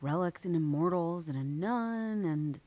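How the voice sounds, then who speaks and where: A woman's voice: lowish voice, animated, unhurried, mellow, dry recording. An actress voicing a stubborn, skeptical woman detective in a noir game, wry and musing as she reasons things out. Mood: puzzled, wry. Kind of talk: noir detective game voice acting, inner monologue.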